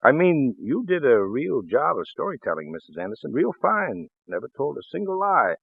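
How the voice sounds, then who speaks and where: An actor playing a folksy, shrewd small-town police lieutenant in a 1940s radio drama, hesitant and apologetic in manner. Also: male voice, mid-range voice, big pitch swings, normal speed, gravelly, husky, no room sound, heavily acted. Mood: shrewd, regretful. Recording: muffled vintage radio recording, phone-like band.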